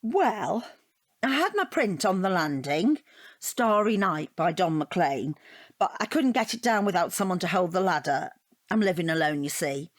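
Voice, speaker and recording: A woman's voice: lowish voice, animated, normal speed, no room sound, clean studio sound. An actress playing a rambling, earnest, self-deprecating divorced woman in a naturalistic audio drama. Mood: chatty, defensive. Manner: rambling, earnest, easily flustered. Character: chatty, self-deprecating divorced woman taking an art appreciation class.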